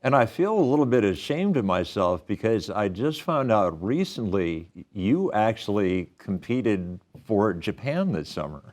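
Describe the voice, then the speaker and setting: A man's voice: lowish voice, very animated, measured pace, hardly any pauses, a little mellow. A man hosting a studio sports interview show, chatty and self-deprecating, often restarting his questions.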